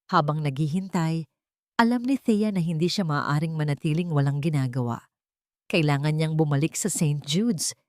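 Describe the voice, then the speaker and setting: A woman's voice: on the low side, darkish tone, dry recording, studio quality. A woman narrating a scripted dramatic story in a solemn, suspenseful manner.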